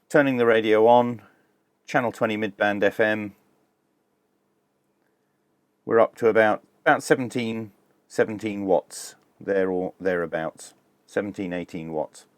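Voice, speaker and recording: A man hosting a hands-on tech demonstration, explaining each step in a measured, informal way. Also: on the low side, no echo. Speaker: host of a radio tech review channel.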